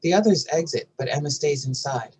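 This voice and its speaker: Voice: mid-range voice, fairly quick, a little mellow, slightly rough. A narrator reading scene headings and action lines from a screenplay at a table read. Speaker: narrator reading stage directions.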